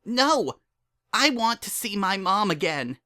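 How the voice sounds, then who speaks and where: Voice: medium pitch, very animated, slow pace, sharp tone, voice pushed up, heavily acted. A narrator voicing a young pony mare, a hurt but hopeful daughter, emotional and pleading, in a dramatic story reading. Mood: pleading, desperate.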